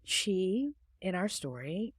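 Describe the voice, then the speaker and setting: A woman guest in a conversational interview, talking about her book in a reflective, soft-spoken storytelling manner. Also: medium pitch, big pitch swings, takes it slowly, husky, no room sound, studio quality. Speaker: author and guest. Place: studio.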